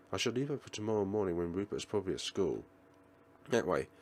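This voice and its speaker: A man with a fairly low voice narrates a visual novel for a let's play. His pitch moves around a lot as he reads dramatically, now and then tripping on a phrase and going over it again. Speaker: let's player reading a visual novel aloud. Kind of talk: game commentary with dramatic read-aloud narration.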